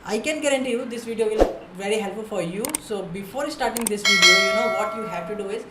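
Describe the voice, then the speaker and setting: A woman's voice: medium pitch, quick pace, roomy sound, very noisy. A woman hosting an interview podcast, speaking informally and giving frequent short murmurs of agreement. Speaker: podcast host.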